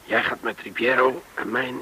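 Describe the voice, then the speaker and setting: An actor playing a brisk, decisive man leading a covert landing in a radio play. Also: medium pitch, steady tone, bright, forceful tone. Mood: businesslike. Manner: terse, decisive. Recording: faintly noisy old radio recording.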